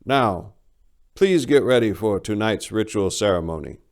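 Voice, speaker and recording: Mystical group leader, acted by a male reader: measured, commanding, reassuring, a touch creaky, storybook tale. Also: lowish voice, animated, a little mellow.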